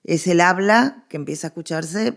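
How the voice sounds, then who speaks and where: A woman's voice: fairly low pitch, moderate pace, creaky. A middle-aged woman with a Rioplatense Argentine accent, explaining as an expert guest in a conversational interview, emphatic and given to digressions.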